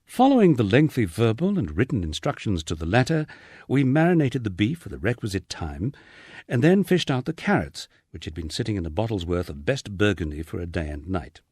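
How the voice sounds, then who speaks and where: Low-voiced man, very animated storytelling. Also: brisk, breathy edge, dry recording, studio quality. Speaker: audiobook narrator. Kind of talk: scripted narration of a humorous memoir.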